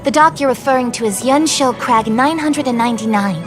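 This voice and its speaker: A woman's voice: on the high side, fairly quick, close to an everyday voice. An actress playing a polite, orderly young woman government clerk in a video game, explaining things formally and earnestly. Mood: explanatory. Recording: music under the voice.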